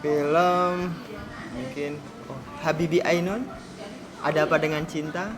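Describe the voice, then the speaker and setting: A man's voice: on the high side, steady tone, slow, music in the background. A man from Yogyakarta answering questions hesitantly, searching for words. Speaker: interviewee. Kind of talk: casual interview answers.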